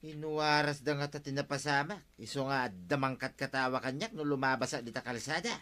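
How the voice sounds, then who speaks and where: A sly, henpecked tricycle driver from an Ilocano radio comedy, acted by a man. His bright, forceful voice sits mid-range, with an Ilocano accent and a colloquial bantering manner. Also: mid-range voice, brisk, natural voice. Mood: indignant. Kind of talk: Filipino radio comedy drama acting.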